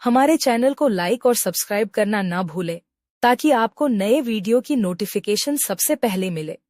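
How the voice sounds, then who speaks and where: A woman's voice: medium pitch, expressive, normal speed, darkish tone, no room sound, clean studio sound. A woman giving a scripted channel announcement as an announcer.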